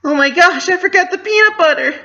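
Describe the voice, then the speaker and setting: A woman's voice: very high-pitched, animated, brisk, sharp tone, muffled recording, exaggerated voice. A woman voicing an anxious, bubbly young female pony baker who doubts herself, in an emotional cartoon-style dramatic reading. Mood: panicked despair. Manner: emotional, forced cheer over distress.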